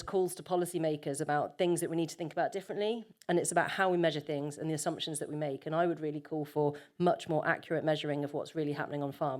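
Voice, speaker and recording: A woman with a fairly low voice giving an unscripted conference presentation. She talks in a loose, conversational way and fills her pauses with plenty of ums. She often restarts and corrects herself mid-sentence. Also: a little mellow. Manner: conversational, many filler ums, self-correcting. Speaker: conference panel speaker, farmer. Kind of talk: conference talk with slides.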